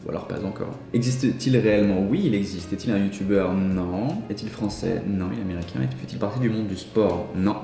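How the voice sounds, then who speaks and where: A young man chats casually over a guessing game, reading each question out and answering it himself. His voice sits low and mellow. The room around him rings with echo, and faint music plays underneath. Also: brisk, vocal fry, hardly any pauses. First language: French. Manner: reads the game's questions aloud and answers them himself. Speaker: YouTuber playing an online guessing game. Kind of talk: casual gameplay commentary.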